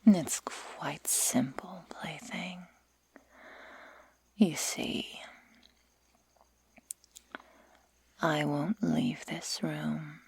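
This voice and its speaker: A woman voicing a sadistic, taunting werewolf girl in a breathy whisper for an ASMR roleplay, speaking slowly and deliberately with mock-sweet menace. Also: low voice, a little mellow, dry recording, heavily acted. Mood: coaxing threat.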